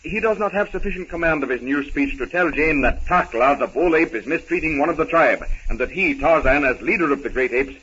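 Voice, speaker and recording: Bright-voiced 1930s radio narrator, man. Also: on the high side, lively intonation, a little fast, hardly any pauses, husky, muffled recording. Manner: dramatic, declamatory storytelling. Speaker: narrator of a radio adventure serial. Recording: scratchy, band-limited 1930s radio recording.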